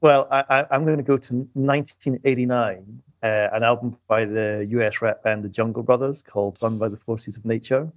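A man's voice: mid-range voice, fairly even intonation, normal speed, hardly any pauses, dry recording, lo-fi sound. A man speaking conversationally as an expert guest in an interview.

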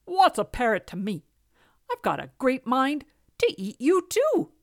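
Lady doing a fat greedy storybook tomcat, loud n scornful, swaggering swoops, dry sound. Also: high voice, huge pitch swings, measured pace, dry recording, pitched above the performer's own voice, heavily acted. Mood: scornful, menacing. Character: boastful, greedy, fat male cat.

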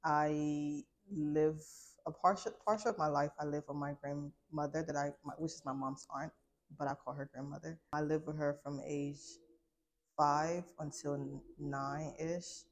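A woman's voice: deep voice, steady tone, unhurried, a little hiss or hum. A woman in her twenties from Liberia telling a candid personal story in an informal, reflective, conversational way. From Liberia, later United States. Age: 20s. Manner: informal, reflective, frequent use of "like". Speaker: guest sharing a personal testimony.

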